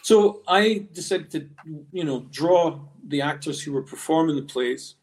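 A man talking as a guest artist on a podcast, telling stories in a halting, reflective, discursive way. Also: on the high side, a little mellow, poor audio. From Scotland. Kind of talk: conversational storytelling in a podcast interview.

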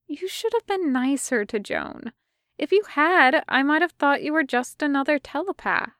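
A woman's voice: high voice, expressive, moderate pace, fairly bright tone, no echo, studio quality, pitched above the performer's own voice. A woman voicing a breezy female covert agent and kidnapper in an audio story, sardonic, condescending and sweetly chatty. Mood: mock sweet.

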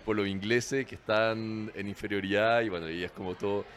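Low-voiced man. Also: fairly quick, a little hiss or hum. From France. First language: French. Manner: relaxed, chuckling, restarts words often. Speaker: bar general manager, guest. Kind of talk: casual interview chat.

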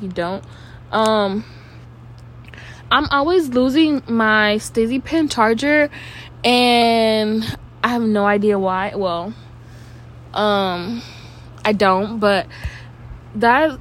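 A woman's voice: on the high side, slight background noise. A young woman with a stuffy nose, rambling casually at home as a podcast host, tripping over her words and swearing now and then.

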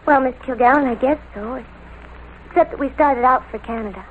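A woman's voice: high voice, fairly quick, close to an everyday voice. An actress playing a childlike, unbothered teenage girl killer in old radio drama, matter-of-fact and girlish. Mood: eerily calm. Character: sweet-faced, eerily calm, childlike teenage girl killer. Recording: tinny, noisy vintage radio recording.

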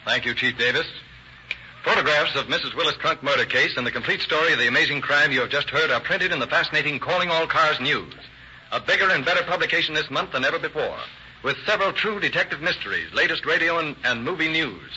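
Forceful old-time male radio announcer. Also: fairly high pitch, animated, brisk. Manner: polished, emphatic announcer delivery. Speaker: announcer and narrator. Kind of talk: 1930s radio scripted narration and advertising. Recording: muffled, hissy old radio recording.

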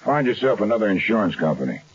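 An actor playing a cool, wry, laconic insurance investigator in a 1970s radio drama. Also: male voice, on the low side, sing-song, fast talker. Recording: dull 1970s radio recording.

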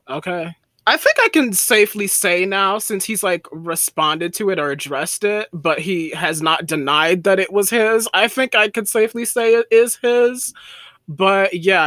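Bright, high-voiced man chatting. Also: no room sound. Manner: chatty, rambling with many fillers. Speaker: podcast co-host. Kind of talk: casual podcast chat.

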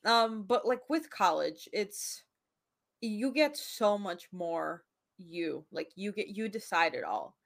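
A woman's voice: mid-range voice, big pitch swings, slow, creaky. A young woman hosts a casual podcast conversation. She is chatty and talks in a medium voice. She tells her stories by acting out bits of dialogue. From United States. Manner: chatty storyteller, acts out quoted dialogue. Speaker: podcast host. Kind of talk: casual chat.

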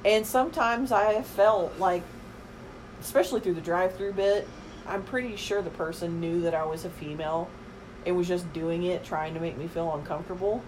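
A middle-aged woman with a very deep voice, chatting bluntly and matter-of-factly as a podcast guest. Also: normal speed, darkish tone, a little hiss or hum, poor audio. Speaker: guest, the host's mother. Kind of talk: casual chat.

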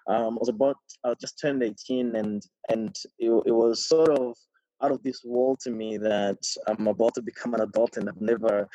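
A man's voice: mid-range voice, mellow, no echo. Thirtysomething guy from burundi telling his story, lots of ums, dry muffled sound. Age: 30s. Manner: reflective, many ums and restarts, laughs often. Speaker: podcast guest. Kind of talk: casual interview conversation.

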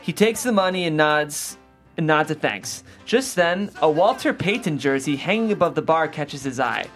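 A woman's voice: sits low, animated, normal speed. Woman reading aloud over faint music. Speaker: host and script reader. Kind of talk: reading screenplay action aloud, then interviewing the writer.

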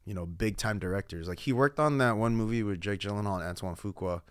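Man, thirties, low pitch, casual slangy storytelling, podcast guest, very dry recording. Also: fast, smooth, dry recording. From Guam and California, born in the Philippines. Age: 30s. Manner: slangy, animated storytelling with quoted asides.

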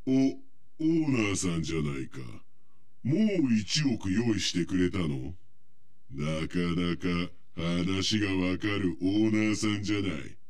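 A man's voice: low voice, unhurried. An actor playing a cocky, threatening male bomber with a taunting manner in a Japanese radio drama. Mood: mocking. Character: menacing, cocky male bomber making ransom demands.

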